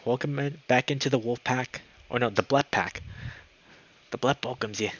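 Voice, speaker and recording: A man streaming a let's play, reading a visual novel aloud in a dramatic, excitable way, sometimes stumbling over words. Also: medium pitch, normal speed. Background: background music.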